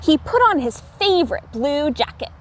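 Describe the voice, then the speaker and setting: A woman reading a picture book aloud to young children, with animated, emphatic and deliberate phrasing. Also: high-pitched, noisy background. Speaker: children's story reader.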